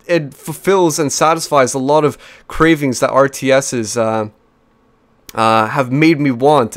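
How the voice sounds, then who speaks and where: A man in his twenties from New Zealand, chatty and energetic, casting a strategy game replay with frequent fillers and restarts at a desk microphone. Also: a little high. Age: 20s. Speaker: game caster. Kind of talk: replay commentary.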